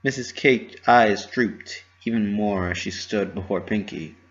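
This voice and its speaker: A man's voice: on the low side, unhurried, highs cut off. A man narrating a story as a scripted dramatic reading.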